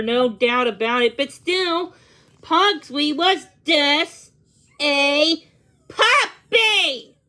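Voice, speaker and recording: Woman reading a kids book out loud, high voice, slow n expressive, stumbles a bit. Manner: expressive, halting with small stumbles. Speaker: storybook reader. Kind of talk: read-aloud children's story narration.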